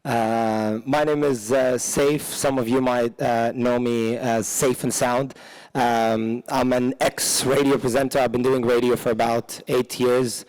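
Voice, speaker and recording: A man's voice: medium pitch, little pitch movement, a little slow, a little mellow, low-quality recording. A man from the UAE, a DJ and former radio presenter, talking casually on a panel with many fillers. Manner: casual, lots of "you know" and "um". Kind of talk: panel self-introduction.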